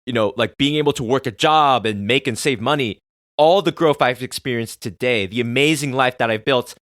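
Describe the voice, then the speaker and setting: A man's voice: medium pitch, no room sound, clean studio sound. Earnest man hosting a solo podcast. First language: Korean. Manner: earnest, excitable, swings from soft musing to loud swearing. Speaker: podcast host.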